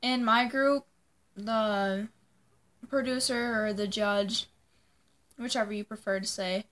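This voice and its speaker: A woman's voice: mid-range voice, fairly even intonation, slow, a bit bright. A young woman telling a personal story to viewers in a casual, informal way, often dropping "like" into her sentences. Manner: informal, peppers speech with "like". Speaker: vlogger.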